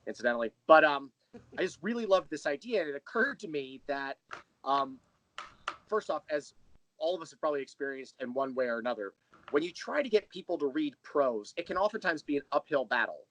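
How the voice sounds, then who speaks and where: Wry, higher-voiced American man. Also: animated, fairly quick, a bit bright, rough recording. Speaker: interview guest, audio drama creator. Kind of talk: casual chat, personal anecdotes.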